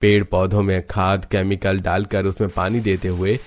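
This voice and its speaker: A man's voice: low voice, level tone, normal speed. A man narrating a scripted cartoon moral story.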